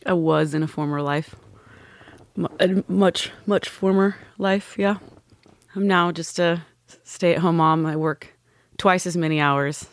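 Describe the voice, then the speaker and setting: A woman's voice: lowish voice, fairly even intonation, measured pace, dry recording. A woman from California, a podcast guest and former lawyer, telling her life story in a candid, good-humored way. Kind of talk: casual storytelling interview.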